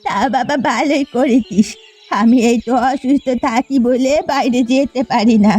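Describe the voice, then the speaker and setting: A woman's voice: sits high, steady tone, normal speed, a little mellow, slightly rough, faint music behind. A woman voicing a bitter, scheming old mother-in-law in a village cartoon, complaining and scornful. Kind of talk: Bengali cartoon fairy-tale acting.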